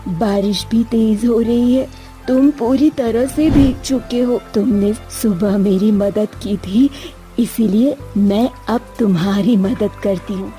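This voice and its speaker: A woman voicing a kind old woman in a children's fairy tale, with gentle, halting, deliberate delivery. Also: on the high side, faint music behind. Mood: kindly, grateful. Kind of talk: Hindi children's story voice acting.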